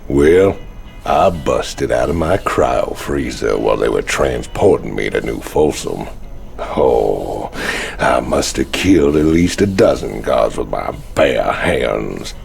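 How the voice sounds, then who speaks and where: Huge gruff convict outlaw, super low growly drawl, slow and cocky, game cutscene. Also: male voice, animated, darkish tone, faint music behind, full character voice. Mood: boastful, needling. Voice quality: gravelly, very deep. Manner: drawling, folksy, taunting. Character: big gruff swaggering male outlaw convict.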